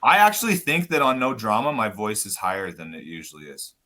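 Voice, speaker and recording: A man guest on a podcast, talking loud and casual, swearing often and rambling as he goes. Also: lowish voice, animated, fairly quick. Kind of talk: casual chat.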